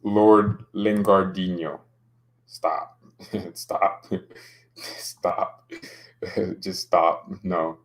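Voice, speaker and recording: A man's voice: low voice, steady tone, very slow, long pauses. A young man casually streaming about football, joking around and reading out chat comments. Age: young adult.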